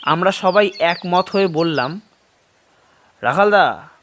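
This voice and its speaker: A man's voice: high-pitched, a little hiss or hum, full character voice. An actor playing a curious, eager man, narrating his own adventure expressively in a horror audio story. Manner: expressive, unhurried. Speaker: first-person narrator.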